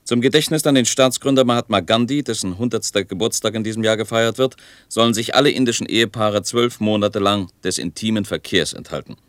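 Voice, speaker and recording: A formal male newsreader played by a man reads a quoted report matter-of-factly for a radio play. His voice is low and very dry. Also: brisk, few pauses, fairly bright tone, no echo, lightly acted. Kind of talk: scripted news-style reading in radio play.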